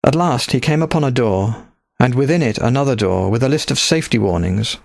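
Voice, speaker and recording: A man's voice: mid-range voice, lively intonation, brisk, gravelly, no echo. A man reading a scripted story as an audiobook narrator into a close microphone.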